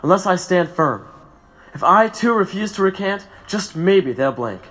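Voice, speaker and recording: Condemned radical, lively, resolute, slightly high voice. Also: male voice, a little slow. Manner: firm, lively, commanding. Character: principled condemned male radical, stubborn. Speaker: condemned prisoner.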